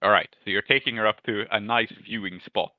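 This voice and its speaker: A man's voice: fairly low pitch, fairly even intonation, fairly quick, dry recording, phone-quality sound. A man improvising narration as game master in a tabletop roleplaying game, thinking aloud as he goes.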